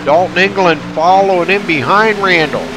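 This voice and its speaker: A stuffed-up man calling a race live, pitched a little high and excitable in a folksy way. His voice comes through noisy broadcast audio with a clear hiss behind it. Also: moderate pace. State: stuffed up from allergies. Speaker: race commentator. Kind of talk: live race play-by-play commentary.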